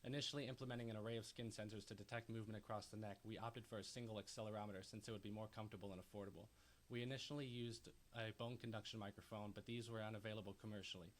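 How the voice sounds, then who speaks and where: Young male engineering student, slightly low voice, speedy scripted presentation delivery. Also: fairly even intonation, very fast. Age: young adult. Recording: faint background noise.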